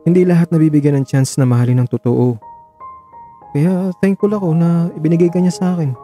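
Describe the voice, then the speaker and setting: A man's voice: a little high, fairly even intonation, fairly quick, warm tone, faint music behind, lightly acted. A man with a Filipino accent acting a devoted, protective young man in a radio drama, reassuring his lover with affectionate mock scolding.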